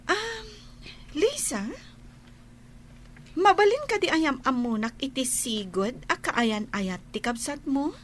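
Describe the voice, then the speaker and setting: Carla, high, lilting, sweet-talking woman. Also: very animated, takes it slowly, pauses often, slight background noise, full character voice. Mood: sweetly polite. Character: Carla, sweet-talking woman courting her rival's friendship. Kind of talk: Ilocano radio drama acting.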